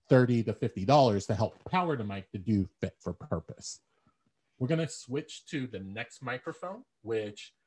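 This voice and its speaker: A man with a deep baritone voice giving an instructional talk, explaining things step by step and repeating phrases as he thinks. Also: a little mellow, slightly rough. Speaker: presenter. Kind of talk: instructional talk on audio gear. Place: small bare room at home. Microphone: desktop condenser mic, then earbud headset. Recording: dull lo-fi earbud audio with echo.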